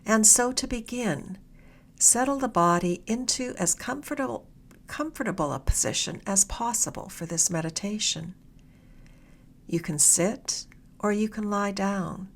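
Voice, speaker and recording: A woman leading a guided meditation in a gentle, soothing, unhurried way, her soft voice turning slightly breathy at the ends of phrases. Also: mid-range voice. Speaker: meditation guide, mindfulness mentor.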